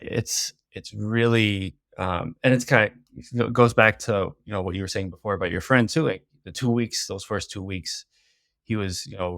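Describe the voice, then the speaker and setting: A man's voice: low voice, gravelly, fairly bright tone, dry recording, clean studio sound. A man who works as a teacher, chatting as a podcast guest, hesitant and often repeating words and saying "you know". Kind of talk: casual podcast conversation.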